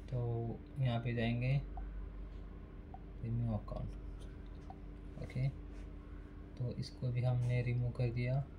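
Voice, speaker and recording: A man's voice: mid-range voice, completely flat delivery, takes it slowly, long pauses, muffled recording, background noise. A man giving a casual step-by-step phone repair tutorial, speaking plainly and repeating each step while working at a desk. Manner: plain, repetitive, instructional.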